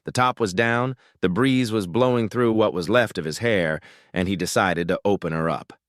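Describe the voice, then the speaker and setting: A man's voice: fairly low pitch, dry recording, clean studio sound. A man reading a string of jokes aloud, shifting his voice for the people in them. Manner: lively storytelling, quick shifts into character voices. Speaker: joke teller.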